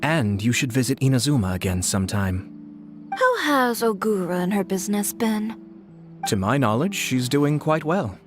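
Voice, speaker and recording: A woman's voice: sits low, wildly swooping pitch, moderate pace, over music. An actress playing a composed, reserved woman in a video game, speaking formally and with measure.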